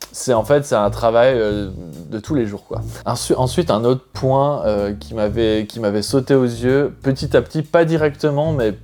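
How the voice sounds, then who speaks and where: A man's voice: medium pitch, warm tone. Chatty French-born man vlogging casually. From France. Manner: chatty, thinking aloud, loosely rambling. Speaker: expat vlogger. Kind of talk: casual explanatory vlog.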